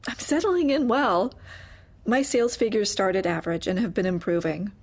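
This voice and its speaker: A woman's voice: medium pitch, moderate pace, natural voice. An actress playing a guarded, uneasy female office employee in an audio drama, hesitant, polite and defensive. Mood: guarded politeness. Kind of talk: audio drama acting, dark comedy.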